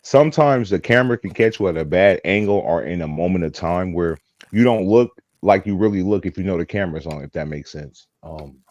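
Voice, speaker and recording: Thirties guy, very deep voice, rambling and chatty, dull dry audio. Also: fairly quick, darkish tone, dry recording. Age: 30s. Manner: rambling, chatty, laughs easily. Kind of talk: casual panel chat.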